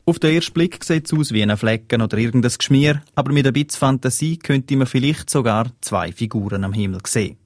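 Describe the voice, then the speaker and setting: Swiss German radio reporter, dry studio. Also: male voice, mid-range voice, brisk, hardly any pauses, dry recording. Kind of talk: scripted news report.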